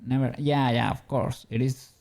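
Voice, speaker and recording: A man's voice: medium pitch, level tone, moderate pace, gravelly, darkish tone. A man from Spain with a Spanish accent, chatting casually with viewers while he works on a 3D model during a stream. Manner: rambling, answers chat, chuckles often.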